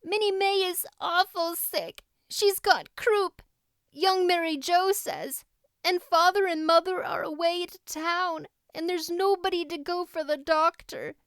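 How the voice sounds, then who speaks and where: Nervous little girl for a storybook, very high and imploring, clean dry recording performed by an adult woman. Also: unhurried, a bit bright, no room sound, exaggerated voice. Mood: frightened pleading. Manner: anxious, imploring, breathless delivery. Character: frightened, pleading young girl, a nervous best friend.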